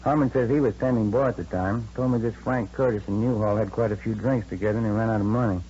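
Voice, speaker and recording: An actor playing a plain-spoken American police detective partner in a radio drama, reporting in a terse, matter-of-fact way. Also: male voice, fairly low pitch, steady tone, fast, dark tone, lightly acted. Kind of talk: 1950s radio drama acting. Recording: muffled old radio recording, faint hum.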